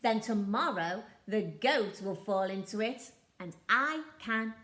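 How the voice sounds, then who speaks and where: A woman's voice: medium pitch, expressive, slow pace, fairly bright tone. A woman voicing a hungry, timid male troll in a children's storybook who speaks in a small, shaky voice when he is scared. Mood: scheming.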